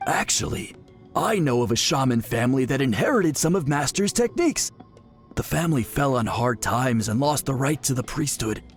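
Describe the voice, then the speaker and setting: A man's voice: medium pitch, animated, normal speed, faint music behind, natural voice. A man playing an earnest, deferential shaman's disciple in a video game, speaking in a conciliatory way. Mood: earnest, explaining.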